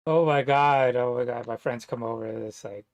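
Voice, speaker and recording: A man from New Jersey talking to camera in a rambling, casual monologue, halting and full of fillers, swearing now and then. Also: medium pitch, fairly even intonation, no room sound, muffled recording. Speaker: vlogger.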